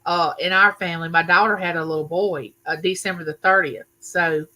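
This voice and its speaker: An older woman, hosting a casual live chat from her home, talking loudly and chattily and greeting viewers by name. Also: lowish voice, fairly even intonation, fairly quick, rough recording. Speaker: livestream host. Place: home room used for filming.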